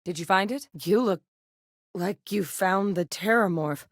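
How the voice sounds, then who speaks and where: An actress voicing an earnest woman scientist in a video game, speaking in a measured, candid way. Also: dry recording, clean studio sound. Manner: measured, earnest, low-key. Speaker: game character.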